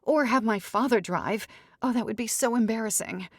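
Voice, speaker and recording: A frantic young bride who has been left at the altar, voiced by a woman narrator. Her voice rides high and breathless, pleading and stubborn. It is audiobook comedy dialogue in a very dry recording. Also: moderate pace, husky, no room sound. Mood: panicked, rambling.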